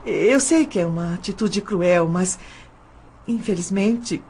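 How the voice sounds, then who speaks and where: An actress playing a troubled young woman in an audio drama, speaking politely and haltingly as she persuades. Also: on the low side, a little mellow, breathy edge, exaggerated voice. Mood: troubled, pleading. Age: young adult.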